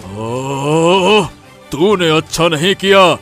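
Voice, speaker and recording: A man's voice: a little high, lively intonation, extremely slow, fairly bright tone, faint music behind, exaggerated voice. Man voicing a menacing, scheming village strongman. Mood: angry, menacing. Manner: commanding, threatening.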